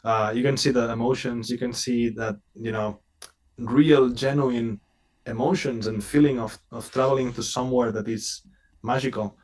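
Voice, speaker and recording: A man's voice: mid-range voice, steady tone, normal speed, a little mellow. A man who is not a native English speaker, appearing as a company representative on a live stream over a video call and talking in an earnest, repetitive way. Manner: earnest, repeats words while speaking. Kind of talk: casual live stream chat.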